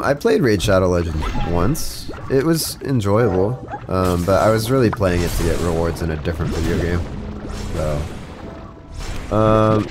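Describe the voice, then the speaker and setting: A young man chatting casually with his live chat while playing a game, stuffed up with a cold, rambling with frequent restarts. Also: fairly low pitch. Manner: rambling, talks to chat, self-interrupting restarts. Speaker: streamer. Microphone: studio mic with compressor.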